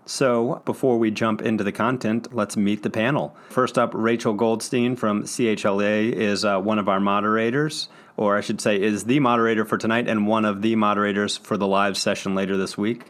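A man's voice: on the low side, fairly even intonation, a little fast, hardly any pauses. A man hosting a medical podcast, introducing a panel and reading out a study summary. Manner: introduces guests, reads abstract summaries. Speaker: podcast host.

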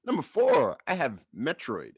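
Male podcast co-host, casual and emphatic, highly animated intonation, dry sound. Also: unhurried, breathy edge, dry recording. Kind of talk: casual banter.

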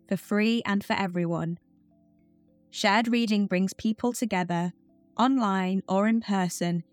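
A woman's voice: mid-range voice, no room sound. Dry-miked woman narrating promo over music. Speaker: charity announcer.

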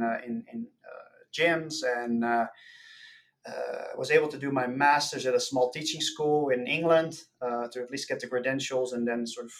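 A man from the Netherlands, an academic guest explaining things casually and haltingly in an interview. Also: mid-range voice, slight background noise. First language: Dutch. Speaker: podcast guest, nutrition professor.

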